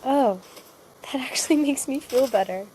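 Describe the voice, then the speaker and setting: A woman's voice: high-pitched, normal speed, lightly acted. A woman playing a curious, anxious young girl in an audio drama, hesitant and full of questions. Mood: curious, wondering. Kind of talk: cinematic audio drama acting. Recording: clear background ambience noise.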